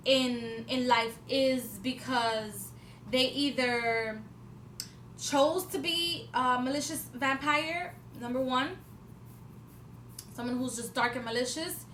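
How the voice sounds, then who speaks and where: A woman's voice: high-pitched, bright, forceful tone. A woman in her twenties vlogging, talking at length in a rambling, casual way, with many fillers and restarts and an occasional swear for emphasis. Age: 20s. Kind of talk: casual spiritual talk to camera. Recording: echoey room with faint background noise.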